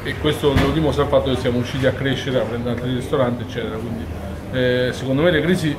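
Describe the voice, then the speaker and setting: Brief: man in his 40s from Umbria, chef, earnest and detailed, medium pitch, kitchen clatter behind. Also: steady tone, quick pace, background noise. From Umbria, Italy. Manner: earnest, detailed, with frequent fillers and restarts. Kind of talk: on-camera interview. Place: busy restaurant kitchen during service.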